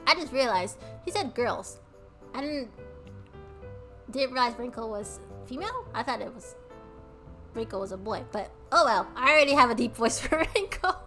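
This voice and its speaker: A woman's voice: a little high, lively intonation, normal speed, fairly bright tone, faint music behind. A woman streaming a game, chatting casually with her chat in a bubbly, giggly way. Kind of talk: casual chat with live chat while playing a game.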